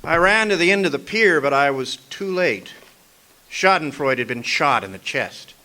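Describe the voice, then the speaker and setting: Man playing a bright-voiced wisecracking private eye. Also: on the high side, animated, normal speed. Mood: dry narrating. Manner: sardonic, hardboiled patter. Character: wisecracking hardboiled male private eye, exasperated narrator. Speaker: actor. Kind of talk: detective radio drama parody narration.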